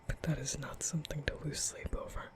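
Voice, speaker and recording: A softly whispering young male vampire, acted by a man, nervous and tender. He speaks breathily and hesitantly, soothing and apologetic, in the intimate style of an ASMR comfort roleplay. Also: a little high, flat delivery, fairly quick, natural voice. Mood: tender concern.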